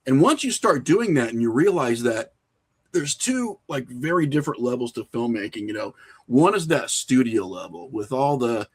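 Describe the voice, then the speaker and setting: A middle-aged man with an American accent talks casually as a podcast guest. He tells stories in an animated way, slipping into little acted-out bits of dialogue. His pitch sits in an average male range. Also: normal speed, slightly rough. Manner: animated, acts out imagined dialogue. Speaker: podcast guest, independent filmmaker. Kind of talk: casual storytelling in an interview.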